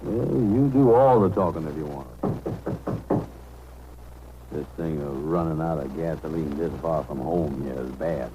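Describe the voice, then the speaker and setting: An actor playing a blustery, grumbling, slow-witted taxicab driver with folksy ungrammatical speech in a 1930s radio comedy. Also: male voice, extremely low pitch. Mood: uneasy. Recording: noisy 1930s radio broadcast.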